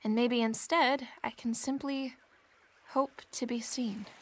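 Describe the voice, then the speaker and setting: A woman's voice: fairly high pitch, fairly even intonation, takes it slowly. An actress playing a proud, earnest, headstrong young woman in a period audio drama, with formal diction.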